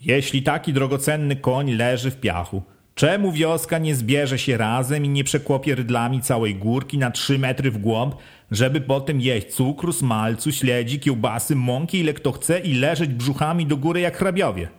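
Man reading as a sharp, pushy village head, raised voice, insistent questions, rising pitch. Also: normal speed, lightly acted. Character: forceful village official, a middle-aged man, pressing and sly.

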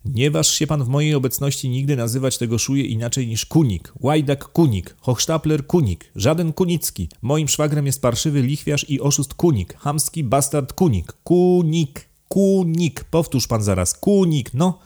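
A man voicing an excitable, contemptuous, deranged aristocrat count in an audiobook, imperious and given to emphatic outbursts. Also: mid-range voice, a little fast, voice pushed up, natural voice. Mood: furious. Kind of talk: dramatized audiobook reading.

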